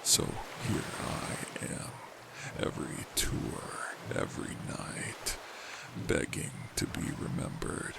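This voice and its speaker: An actor playing the bitter, sarcastic ghost of a forgotten pirate's apprentice. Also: male voice, slow pace, very noisy, close to an everyday voice. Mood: mournful. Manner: sarcastic, aggrieved. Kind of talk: audio drama acting.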